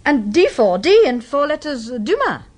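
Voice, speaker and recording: A woman's voice: high-pitched, very animated, moderate pace. A woman with an English Received Pronunciation accent plays a detective's inquisitive, well-bred wife in a radio drama. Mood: curious.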